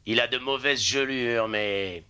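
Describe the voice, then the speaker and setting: A man voicing a hopeful, determined sled-dog driver in a dubbed animated film, earnest and emotive. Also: mid-range voice, a little slow, clear, resonant tone. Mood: sad, tender. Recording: light music behind.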